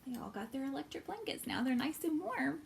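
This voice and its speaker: A woman hosts a children's storytime in a fairly high, very animated voice. She explains things simply and asks young listeners questions, with creak in her voice. There is faint noise in the recording. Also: fairly quick. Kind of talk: animated storybook read-aloud and craft talk for children.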